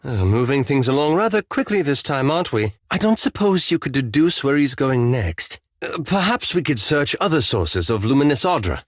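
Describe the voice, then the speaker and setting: A man's voice: mid-range voice, no echo, clean studio sound, close to an everyday voice. An actor playing a courteous, wry and anxious scholarly male elf companion in a fantasy video game, with formal, precise enunciation. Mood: concerned.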